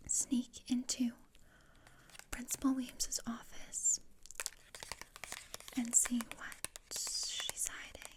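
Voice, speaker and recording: A scheming, macabre teenage girl, acted out by a woman for an ASMR roleplay. She speaks in a flat, deadpan whisper with very little movement in pitch. Her delivery is slow and measured, dryly relishing the gloomy and the morbid.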